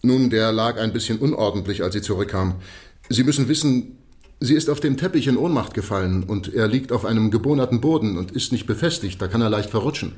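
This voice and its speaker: Man playing a low-voiced, sheepish police constable. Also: fairly quick, a little mellow, lightly acted. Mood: sheepish, explaining. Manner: respectful, apologetic, plain-spoken. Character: deferential, sheepish male police constable explaining himself. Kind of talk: radio drama acting.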